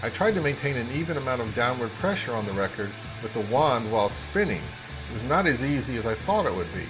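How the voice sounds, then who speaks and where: A man narrating a casual product review in a measured, wry and mildly critical manner. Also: mid-range voice, big pitch swings, music in the background, rough recording.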